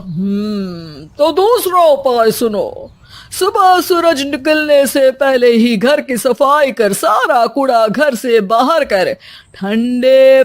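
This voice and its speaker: A woman's voice: fairly high pitch, no room sound. A woman voicing a male holy man in a cartoon story, a solemn fake guru handing out remedies.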